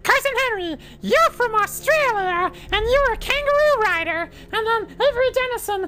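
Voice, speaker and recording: A woman's voice: very high-pitched, expressive, moderate pace, bright, forceful tone, a little hiss or hum, exaggerated voice, low-quality recording. A woman playing an imperious cobra princess who guards an ancient tomb, with haughty, theatrical proclamations in a comic adventure radio play. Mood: suspicious, comic.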